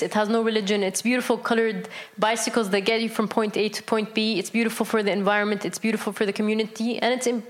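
Brief: Palestinian woman founder, earnest and rambling, lots of fillers, mid-pitched conversational voice. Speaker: business founder, interview guest. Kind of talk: conversational interview answers.

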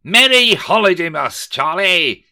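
A man voicing a gleeful, scheming male miser who exclaims loudly and theatrically. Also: a little high, very animated, moderate pace, voice pushed up, full character voice. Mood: pompous. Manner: shouting, exclaiming, manic glee.

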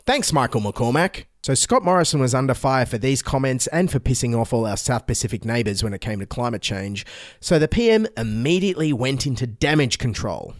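A slightly hoarse man hosting a satirical news show with sarcastic, deadpan jokes. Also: mid-range voice, fairly quick, no echo, studio quality. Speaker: host.

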